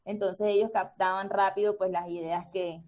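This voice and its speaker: A woman's voice: mid-range voice, level tone, moderate pace, muffled recording. A woman who directs and acts, explaining casually with hesitant starts. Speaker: radio theatre actress and director. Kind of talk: casual talk.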